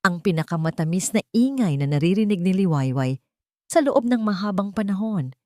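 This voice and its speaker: Female narrator for dramatic fiction: measured, emotive phrasing, highly varied intonation, dry close recording. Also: animated, darkish tone, dry recording. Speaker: story narrator. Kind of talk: dramatic scripted storytelling narration.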